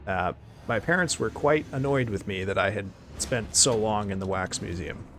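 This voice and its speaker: Excitable male streamer losing his voice. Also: fairly low pitch, moderate pace, a little hiss or hum. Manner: excitable, thanking viewers, swearing in surprise. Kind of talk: casual live-stream chat.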